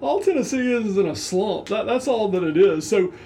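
A man in his forties co-hosting a casual sports chat, halting and full of fillers. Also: sits high, expressive, dark tone, slight background noise. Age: 40s. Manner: halting, lots of repeated fillers and restarts. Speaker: co-host.

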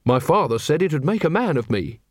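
A man's voice: fairly high pitch, big pitch swings, fairly quick, no echo, clean studio sound. A man voicing an earnest, naive young city watchman who talks eagerly and literally.

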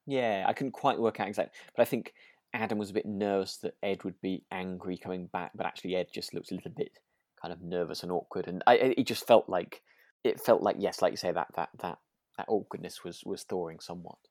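Casting: man, deep voice, close dry recording, hesitant and casual podcast co-host. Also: fairly even intonation, brisk, darkish tone, no echo. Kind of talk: casual chat about a radio drama.

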